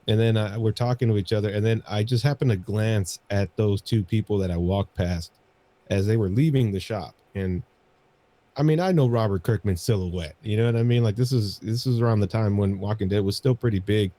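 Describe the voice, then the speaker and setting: A man's voice: on the low side, a little fast, dark tone, dry recording. A man co-hosting a podcast, telling anecdotes in a casual, rambling way and often repeating his phrases. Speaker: podcast co-host.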